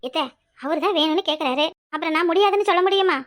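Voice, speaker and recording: Woman playing a very shrill, outspoken young village wife. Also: fast talker. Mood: coaxing. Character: outspoken young village wife, dutiful, protective, quick-tempered. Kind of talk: Tamil family drama dialogue.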